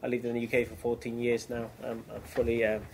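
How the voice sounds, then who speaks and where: A man's voice: mid-range voice, completely flat delivery, fast, vocal fry, background noise. A man from Chile with a Chilean accent, giving a keynote business presentation with frequent ums and restarts. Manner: hesitant, many ums and restarts. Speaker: keynote speaker.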